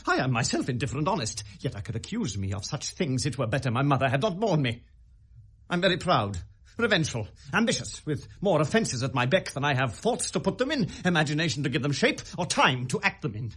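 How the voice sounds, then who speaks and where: An actor with a British accent playing a bitter, volatile young prince in classical Shakespearean radio drama, in theatrical, deliberate verse. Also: male voice, on the high side, sing-song, fairly bright tone, slightly rough, heavily acted. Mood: bitter, agitated. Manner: theatrical, deliberate verse delivery swinging to outbursts.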